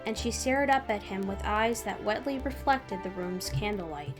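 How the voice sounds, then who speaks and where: A woman's voice: mid-range voice, moderate pace, over music. A woman speaking as narrator and host of a fan fiction reading. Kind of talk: story narration and casual outro chat.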